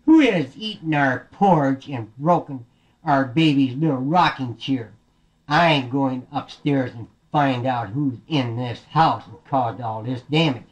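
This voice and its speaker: An excitable daddy bear acted out by a storyteller speaks with urgent, swooping emphasis. It is a storybook father figure, caught on a dull lo-fi recording. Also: medium pitch, slow pace, pitched above the performer's own voice. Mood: angry.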